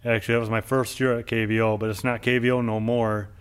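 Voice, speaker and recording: A man's voice: on the low side, flat delivery, fast talker, smooth. A man hosting an interview show, talking casually and reminiscing in long, rambling sentences full of "like". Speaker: show host.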